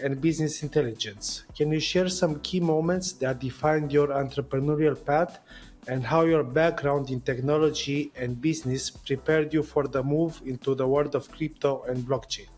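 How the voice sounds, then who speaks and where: A man's voice: on the high side, fairly even intonation, moderate pace, darkish tone, faint music behind. A man hosting an interview show, reading his introduction and questions in a formal way. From Romania. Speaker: podcast host.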